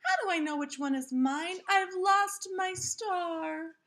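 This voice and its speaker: A woman reading a storybook as an eager, bossy young schoolgirl who exclaims excitedly. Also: very high voice, slow pace, pitched above the performer's own voice, full character voice. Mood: excited, dismayed.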